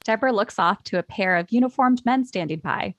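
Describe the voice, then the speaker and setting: A woman's voice: medium pitch, animated, a little fast, fairly bright tone, no echo, muffled recording. A woman reading scripted stage directions as narrator at a table read.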